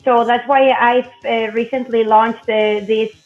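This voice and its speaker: An earnest woman explains her research as a guest, pausing often to find her words. Her slightly high voice comes through a muffled, lo-fi video call, with faint music behind. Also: level tone. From Spain.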